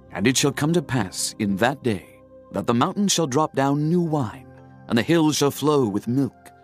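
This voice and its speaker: A man narrating a dramatized scripture audiobook in a solemn, declamatory, measured manner. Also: mid-range voice.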